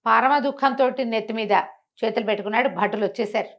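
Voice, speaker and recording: A middle-aged woman telling a folk tale with animated, theatrical delivery. Her voice sits somewhat high for a woman, and she switches tone as she voices each character. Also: fast talker. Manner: expressive, acts out the characters' lines with shifting voice. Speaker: storyteller guest. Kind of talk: animated storytelling in an interview.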